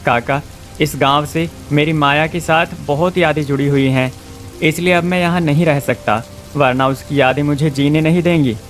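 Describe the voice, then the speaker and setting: A man's voice: a little high, steady tone, normal speed, soft background music, natural voice. A man voicing an earnest, emotional young village husband in a cartoon story. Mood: heartbroken. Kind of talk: Hindi cartoon story voice acting.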